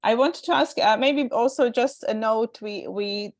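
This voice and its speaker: A woman's voice: on the high side, unhurried. A woman with a Croatian accent, a native Croatian speaker, moderating an online webinar informally and rephrasing as she goes.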